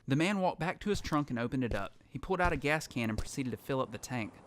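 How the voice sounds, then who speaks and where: A man's voice: mid-range voice, animated, quick pace, slight background noise, close to an everyday voice. A man playing a lone apocalypse survivor who tells his story in earnest, dramatic first-person narration for an audio drama. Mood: reflective. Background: music.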